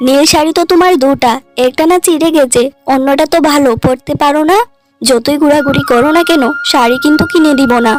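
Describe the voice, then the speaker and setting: A woman's voice: sits high, a little fast, over music, close to an everyday voice. A woman voicing a stern, scolding older mother-in-law in a cartoon drama. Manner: scolding, reproachful. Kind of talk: cartoon dialogue.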